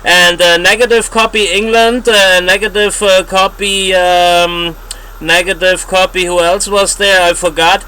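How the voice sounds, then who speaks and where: A man giving casual signal reports as an amateur radio operator, halting and repeating himself, heard over shortwave radio. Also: sits high, little pitch movement, sharp tone, smooth, low-quality recording. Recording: shortwave radio with light static.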